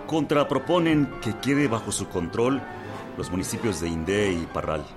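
Low-voiced, formal military aide, played by a man. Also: lively intonation, normal speed, music in the background, lightly acted. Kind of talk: radio drama acting.

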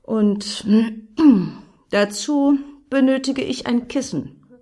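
An actress playing a scheming, grandly solemn woman in a satirical radio play, speaking in deliberate, lofty phrases. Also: fairly high pitch, warm tone. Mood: solemn, purposeful.

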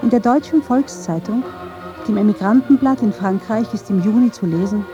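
A woman's voice: medium pitch, moderate pace, dull audio, over music. A woman reading scripted narration for a radio documentary.

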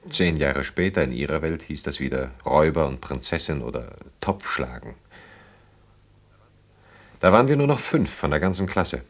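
Brooding engineer guy in a radio drama, deep voice, thinking out loud, stops and starts. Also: expressive, moderate pace. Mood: melancholy, recounting. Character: brooding engineer haunted by wartime childhood and lost friends. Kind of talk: German radio play acting.

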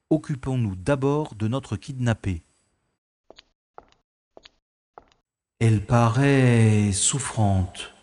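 A cocky secret agent with a dry, ironic wit, as a man plays him. He talks at an unhurried pace in a mid-range voice, narrating his own adventure. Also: medium pitch, darkish tone, no room sound. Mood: curious. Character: wry, cocky special agent, adult man. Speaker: narrator-hero. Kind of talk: audio drama acting.

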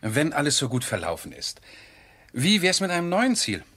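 An actor playing an enthusiastic, impulsive, amiable gentleman landowner in a radio drama. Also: fairly high pitch, huge pitch swings, moderate pace, a little hiss or hum, full character voice. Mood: eager, playful. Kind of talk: German radio drama acting.

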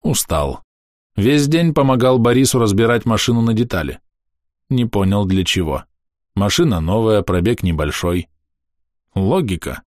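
A man reading a first-person diary aloud in a calm, matter-of-fact, measured way. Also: on the low side, no echo, studio quality. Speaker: audiobook narrator.

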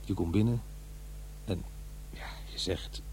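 A man's voice: low-pitched, slow pace, vocal fry, darkish tone, slight background noise, heavily acted, poor audio. An actor playing an awkward, defensive divorced father in middle age in a radio play, with a hesitant delivery. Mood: bitter, reflective. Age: middle-aged. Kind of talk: radio play acting, naturalistic dialogue and narration.

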